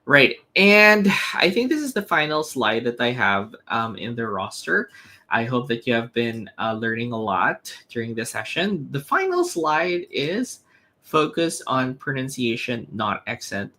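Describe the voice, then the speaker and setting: Man, bright tone, earnest teacher, online lesson, many ums. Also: mid-range voice, sing-song, a little slow. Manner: earnest, explanatory, many fillers and restarts. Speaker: IELTS teacher. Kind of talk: webinar lesson with slides.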